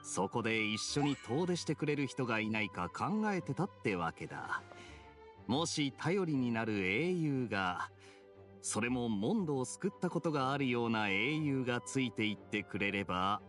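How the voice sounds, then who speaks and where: An actor playing a suave, teasing young knight in an anime game, laid-back and wry. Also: male voice, medium pitch, lively intonation, moderate pace, a bit bright, soft background music, lightly acted. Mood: persuasive. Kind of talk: Japanese anime game acting.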